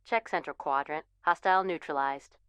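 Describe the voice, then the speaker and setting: A woman's voice: sits low, moderate pace, fairly bright tone, smooth, no echo. A woman playing a composed military command operator, giving clipped, impersonal tactical announcements in a video game.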